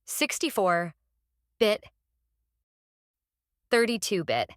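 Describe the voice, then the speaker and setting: Woman giving software tutorial, dry close sound. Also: mid-range voice, very animated, drawn-out words, no room sound. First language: non-native English speaker. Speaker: tutorial host. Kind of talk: step-by-step software tutorial, semi-improvised.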